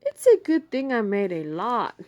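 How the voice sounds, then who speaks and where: A woman's voice: fairly high pitch, huge pitch swings, a little slow, a little mellow. A woman voicing a generous, kindly grandmother in a children's storybook, speaking emphatically. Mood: pleased, generous. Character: warm, generous grandmother arriving with cookies. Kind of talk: children's storybook read-aloud.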